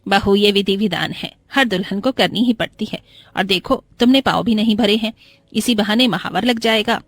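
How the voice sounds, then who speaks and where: A woman's voice: mid-range voice, moderate pace, no echo, close to an everyday voice. A woman voicing a wealthy, kind-hearted older mother-in-law who speaks with composed authority. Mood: patient firmness.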